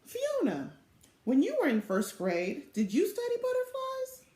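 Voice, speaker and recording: A woman's voice: very high voice, huge pitch swings, unhurried, warm tone, voice pushed up, exaggerated voice. A woman voicing an eager, earnest little first-grade girl in a storybook reading. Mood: curious. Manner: earnest, proud. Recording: echoey room sound.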